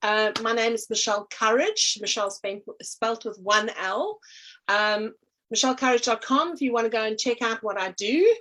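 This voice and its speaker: A woman's voice: on the high side, lively intonation, moderate pace, a bit bright, rough recording. A middle-aged South African woman talking casually in an interview, meandering with many ums and restarts. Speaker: energy healer and artist, guest.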